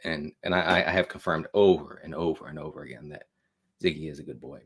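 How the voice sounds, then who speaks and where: A man's voice: rumbling low, fairly even intonation, fast talker, a bit bright, breathy edge. An American man aged forty hosting a casual livestream monologue, rambling and repeating himself with wry, self-deprecating asides. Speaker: host of a live show on fatherhood.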